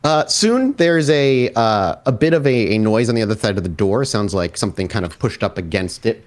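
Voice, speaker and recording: A man narrating a tabletop roleplaying game as storyteller, describing scenes off the cuff with pauses. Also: lowish voice, animated.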